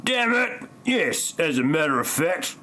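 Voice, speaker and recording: A man's voice: on the high side, sing-song, measured pace, sharp tone, heavily acted. A man playing an irritable, bitter, melodramatic wife in a parody audio play. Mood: irritated.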